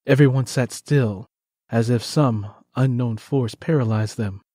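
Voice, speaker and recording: A man narrating a scripted dramatic story as an audiobook narrator, solemn and measured. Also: mid-range voice, dark tone, dry recording, clean studio sound.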